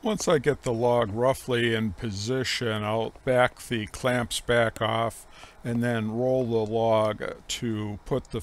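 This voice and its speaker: A middle-aged man narrating how he runs his sawmill, speaking slowly and plainly with frequent pauses. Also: medium pitch. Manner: slow, measured, plain-spoken with frequent "uh" pauses. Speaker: homestead sawmill operator. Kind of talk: voice-over explaining sawmill operation.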